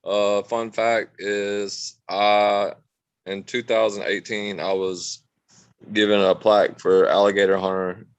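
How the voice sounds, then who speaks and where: A man's voice: lowish voice, flat delivery, slow pace, clean tone, muffled recording. A man in his forties from Louisiana introducing himself plainly as a game player on a video call. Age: 40s. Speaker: game player, construction worker.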